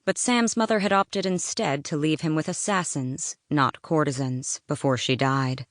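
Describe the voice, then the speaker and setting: Low-voiced woman narrating dramatic fiction. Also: moderate pace, no echo, studio quality. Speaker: audiobook narrator.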